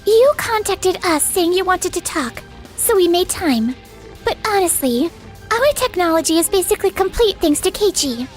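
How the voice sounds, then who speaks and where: A firm, loyal young businesswoman from a manga story dub, played by a woman. Her voice is extremely high, yet formal and unyielding. Quiet music runs behind her. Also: animated, normal speed. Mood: firm, cool.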